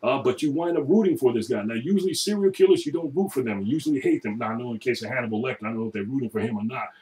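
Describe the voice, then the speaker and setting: A middle-aged man recording a chatty, joking audition, laughing often and now and then dropping into a booming announcer voice. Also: very fast, dark tone, far from the mic, low-quality recording. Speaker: voice-over audition. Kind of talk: self-made audition video.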